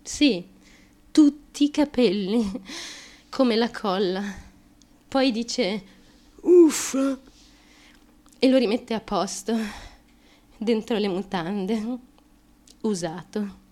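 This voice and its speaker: An actress playing a desperate, naive young woman chasing fame in a theatrical radio monologue, confiding in a halting, obsessively repetitive way. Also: on the high side, warm tone. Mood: subdued, bitter. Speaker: stage actress.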